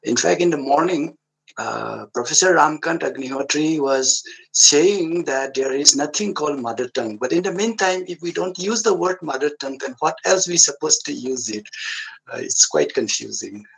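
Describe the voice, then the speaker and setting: A man's voice: on the high side, expressive, normal speed, vocal fry, fairly bright tone, slightly rough, low-quality recording. A man in the audience asking a question hesitantly, repeating his words.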